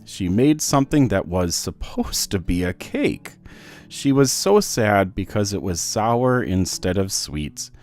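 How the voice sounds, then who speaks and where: A man reading a children's story aloud as narrator, in an expressive, deliberate manner. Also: fairly low pitch, soft background music. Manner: animated, deliberate rhyming delivery for children. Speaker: children's book read-aloud host.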